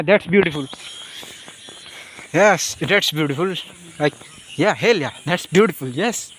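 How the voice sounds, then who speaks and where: A man with a Nepali accent speaking off the cuff in a personal monologue, halting and rambling, often repeating his phrases. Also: on the high side, a bit bright, slight background noise, low-quality recording. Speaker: vlogger.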